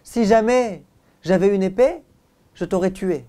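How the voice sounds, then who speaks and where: A man's voice: high-pitched, a little slow, mellow, voice pushed up. A man voicing an angry, bullying prophet who scolds his donkey indignantly, in a told Bible story. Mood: angry scolding.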